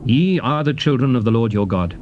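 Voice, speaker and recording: A man delivers a solemn dramatic scripture reading in a medium-pitched voice. He is stately and emphatic, setting out short measured phrases with very lively intonation. A faint hiss of old cassette tape runs behind him. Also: narrow, tinny audio. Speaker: narrator of a dramatized Bible reading.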